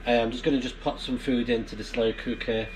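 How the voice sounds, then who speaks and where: A man's voice: medium pitch, fairly even intonation, a little fast. Male vlogger, casual and rambling, mid-range voice, noisy barn recording. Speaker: amateur radio vlogger. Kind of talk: casual explanatory vlog chat. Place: inside a barn. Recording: clear background noise in barn.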